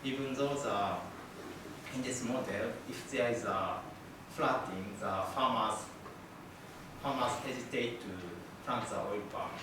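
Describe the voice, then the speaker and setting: Hesitant non-native man lecturing, far off-mic, echoey noisy room. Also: medium pitch. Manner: halting, many fillers, non-native English. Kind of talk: academic presentation.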